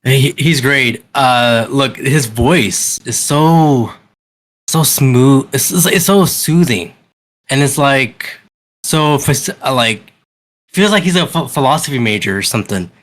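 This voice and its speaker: A man's voice: medium pitch, fairly bright tone. Eager man hosting a podcast chat. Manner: enthusiastic, quick restarts. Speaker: podcast host. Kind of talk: casual chat.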